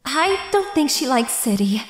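A cute, blunt teenage anime girl voiced by a woman. Her voice is high and swooping, with slow delivery over faint music. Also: sing-song, husky, exaggerated voice. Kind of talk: anime manga dub acting.

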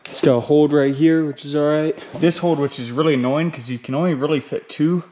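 A man's voice: fairly high pitch, steady tone, brisk, dark tone, a little hiss or hum. A young man filming himself at a bouldering gym, talking casually to the camera between climbs, laid-back and slangy, with some swearing. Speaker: climbing vlogger. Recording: echoey gym with music behind. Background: background music.

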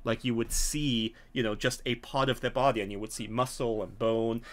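A man acting as game master, explaining a scenario in a hesitant, halting way. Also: mid-range voice, fairly even intonation, a bit bright. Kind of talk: narrating a tabletop game scenario. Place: small home room, video call.

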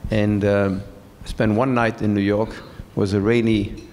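A middle-aged man from France, speaking as a guest on stage in a large hall, pausing with many fillers. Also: lowish voice, little pitch movement, darkish tone, a little hiss or hum. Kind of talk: onstage conversation. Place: large event hall with seated audience. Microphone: handheld mic.

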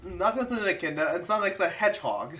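Low-voiced woman reacting, lively swings. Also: quick pace, far from the mic. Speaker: reaction video host. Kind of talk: casual reaction commentary over a video.

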